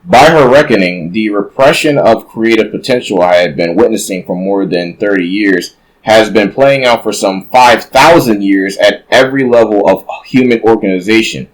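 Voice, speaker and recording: A man reading a nonfiction book aloud in a measured way, now and then stopping to correct a slip. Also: lowish voice, low-quality recording.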